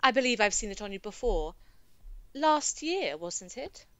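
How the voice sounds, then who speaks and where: A snobbish young society lady from a period audio drama. She is catty, with a highly animated medium-pitched delivery in a dry recording. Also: unhurried, no room sound.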